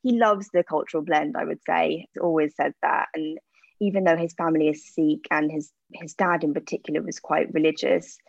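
Low, gravelly woman. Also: steady tone, brisk, dry recording. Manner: chatty, candid, with frequent fillers. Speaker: interview guest, aesthetic practitioner. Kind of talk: casual interview answers. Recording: dull lo-fi sound.

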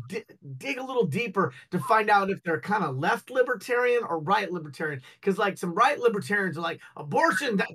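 A man's voice: high voice, pushed and bright. A man co-hosting a casual talk show, rambling and joking, often stumbling over his words. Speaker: co-host.

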